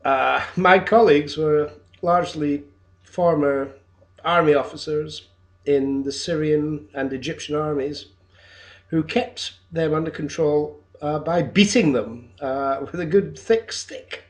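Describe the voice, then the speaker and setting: Fifties teacher, unhurried and hesitant, a touch high-pitched, pitching himself on a home camera recording. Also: male voice. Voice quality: loud voice. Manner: hesitant, with frequent ums and uhs. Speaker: teacher applying for a job. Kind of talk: unscripted video application monologue. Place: his own flat, indoors.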